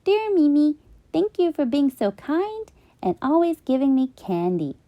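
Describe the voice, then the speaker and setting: A woman's voice: high-pitched, big pitch swings, a little slow, highs cut off, pitched above the performer's own voice, heavily acted. A woman voicing a cheerful little girl reading her thank you letters in a children's storybook, with a sing-song manner. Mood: cheerful, grateful. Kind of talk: children's storybook read-aloud.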